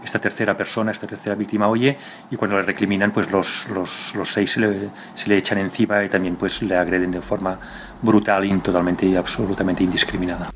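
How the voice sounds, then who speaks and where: Low, monotone man over ambient noise. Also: fairly quick. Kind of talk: spontaneous recounting.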